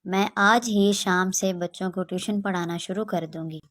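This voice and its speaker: A woman's voice: medium pitch, steady tone, moderate pace, lightly acted. A woman voicing a principled, grateful young village daughter in a children's moral story, polite and earnest.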